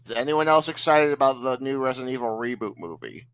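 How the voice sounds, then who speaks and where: A man's voice: medium pitch, lively intonation, quick pace, fairly bright tone, clear, resonant tone. A man co-hosting a podcast, chatting casually with the group. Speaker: podcast co-host.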